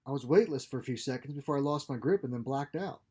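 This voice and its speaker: A man's voice: medium pitch, quick pace, mellow, husky, lightly acted. A man voicing an easygoing, reassuring woman traveller in a science fiction story. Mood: casual. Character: easygoing sister, a revived female space traveller.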